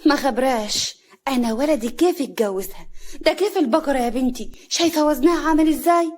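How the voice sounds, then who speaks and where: A woman's voice: high voice, a little fast, darkish tone, pitched above the performer's own voice, lightly acted. A woman voicing a scornful, domineering older mother-in-law in a story, scolding sarcastically in an Upper Egyptian accent. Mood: mocking.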